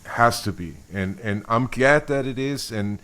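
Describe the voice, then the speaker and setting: A middle-aged man from Austria, a bassist being interviewed, speaking thoughtfully with many restarts and fillers. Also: a little slow, fairly bright tone. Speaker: bassist guest. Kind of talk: interview conversation.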